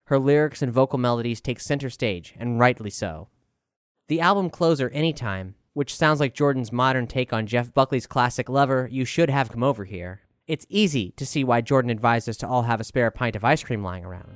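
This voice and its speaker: A man reading a scripted music review as a narrator, with a clear, smooth voice over music. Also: medium pitch, animated, fairly quick, no echo, clean studio sound.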